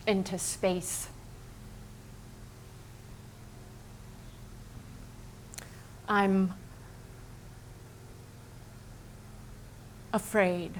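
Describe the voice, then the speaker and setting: A woman on stage playing a painter's anguished, yearning wife in a theatrical dramatic reading, with deliberate, halting phrasing. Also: medium pitch, a bit bright. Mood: fearful, subdued.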